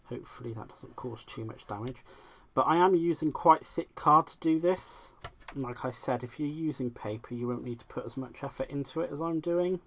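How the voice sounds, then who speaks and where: A man's voice: mid-range voice, normal speed, fairly bright tone. Chatty West Country man crafting live. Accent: West Country English. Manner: chatty, self-deprecating, laughs often. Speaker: craft livestream host. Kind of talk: casual live-stream chat while crafting.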